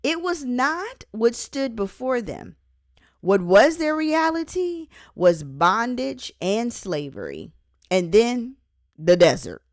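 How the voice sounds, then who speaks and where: Slow, deliberate woman reading inspirational teaching. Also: a little high, very animated, no echo, clean studio sound. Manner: deliberate, emphatic phrasing with pauses. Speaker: author and host reading own book. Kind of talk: scripted inspirational audiobook-style reading with Bible teaching.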